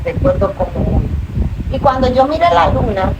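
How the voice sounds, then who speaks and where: A woman's voice: high-pitched, animated, moderate pace, creaky. A middle-aged woman from the Dominican Republic with a Dominican accent, a minister telling her faith testimony in an interview with earnest, rising emotion. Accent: Dominican Spanish. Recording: distant mic, noisy room.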